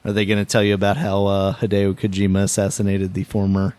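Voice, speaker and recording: A man's voice: lowish voice, completely flat delivery, quick pace, dark tone, clean tone. A man co-hosting a gaming podcast in casual chat, joking and teasing his co-host.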